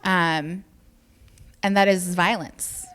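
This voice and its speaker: A woman's voice: lowish voice, fairly even intonation, slow pace, fairly bright tone, faint music behind. A woman talking casually on a panel, chatty and wry, with lots of "like". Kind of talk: casual opinionated talk.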